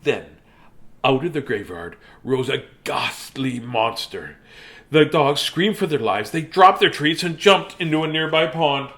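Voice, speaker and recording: Animated man reading a bedtime story. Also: medium pitch. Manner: theatrical, with dramatic pauses.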